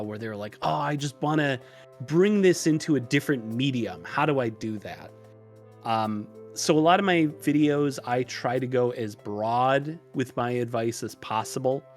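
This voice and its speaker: A man talking casually as a podcast guest, explaining things in a loose, rambling way with hesitations. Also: mid-range voice. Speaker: podcast guest who runs a tutorial channel. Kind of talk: casual interview conversation. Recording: music mixed under the voice. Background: music.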